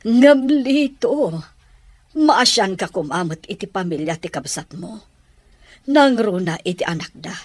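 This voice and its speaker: A Filipino woman playing an anxious widowed mother in an audio drama, imploring and emotional, with a husky, breathy voice. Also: mid-range voice, lively intonation, a little slow, darkish tone, dry recording. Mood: reproachful, upset. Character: anxious, pleading widowed mother protecting her grown son. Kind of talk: Ilocano radio-style audio drama acting.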